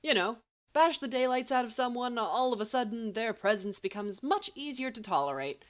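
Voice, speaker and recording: A woman's voice: a little high, expressive, moderate pace, pitched above the performer's own voice, lightly acted. A woman playing a brash, teasing teenage schoolboy in an audiobook, indignant and flippant with a mock-grand manner.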